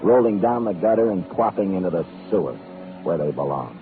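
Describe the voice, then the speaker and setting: Wry hard-boiled detective, tinny old radio. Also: male voice, deep voice, expressive, a little fast. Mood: grim, wry. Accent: American. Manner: sardonic, clipped storytelling. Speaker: lead actor in a radio detective serial. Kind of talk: 1940s radio noir narration and dialogue. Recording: vintage radio, narrow phone-like band, music behind.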